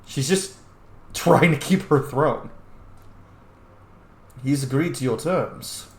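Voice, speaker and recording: A man's voice: medium pitch, takes it slowly, reverberant. A man playing a roleplaying game, commenting in a chatty, informal way and reading out his character's dialogue. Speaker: gamer, roleplay series host.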